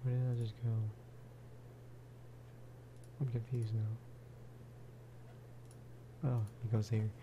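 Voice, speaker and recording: Soft-spoken man murmuring over clear background noise. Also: flat delivery, highs cut off. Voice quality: soft, hushed voice, at times breathing into whisper. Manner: unhurried, low-key, musing aloud. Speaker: gaming video creator. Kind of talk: soft-spoken ASMR game commentary.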